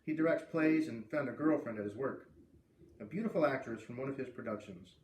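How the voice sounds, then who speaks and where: An earnest, bookish schoolgirl voiced by a man confides gently in a classmate, in a storybook read-aloud. The voice comes from a little way off in a roomy space, with faint noise. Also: mid-range voice, fairly quick, close to an everyday voice. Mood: hurt, candid. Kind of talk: children's novel read-aloud. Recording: roomy, distant mic, faint background noise.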